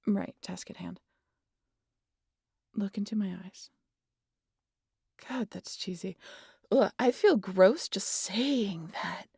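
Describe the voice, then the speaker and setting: A woman playing a sardonic, guarded vampire in an intimate audio roleplay, with a gravelly, husky voice and a teasing, exasperated manner that slips into breathy whispers. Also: medium pitch, takes it slowly, pauses often, darkish tone, dry recording, clean studio sound, heavily acted. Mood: wry, reluctant. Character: sardonic, guarded female vampire hypnotizing an injured hunter.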